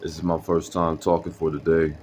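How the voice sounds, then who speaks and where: A young man with a very deep voice tells a story in casual slang, rambling with lots of fillers. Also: flat delivery, a little fast, darkish tone, slight background noise. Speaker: vlogger.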